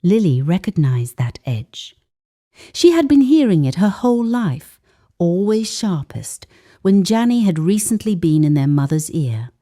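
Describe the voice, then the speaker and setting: Lady narrating a dramatic folktale, warm dark voice, takes her time, weighty pauses. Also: lowish voice. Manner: measured, deliberate, expressive, with weighted pauses. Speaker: storyteller, narrator.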